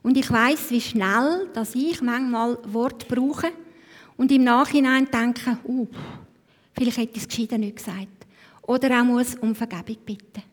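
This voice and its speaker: Slow Swiss woman in a reverberant church. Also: a little high. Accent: Swiss German. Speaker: church service leader. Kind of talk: devotional talk and scripture reading. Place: church hall. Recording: long hall reverb.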